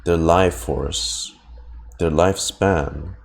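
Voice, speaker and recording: Deep, slow-talking male game streamer. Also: steady tone, vocal fry. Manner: rambling, musing, playful. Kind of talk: casual gameplay reaction commentary. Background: video game audio.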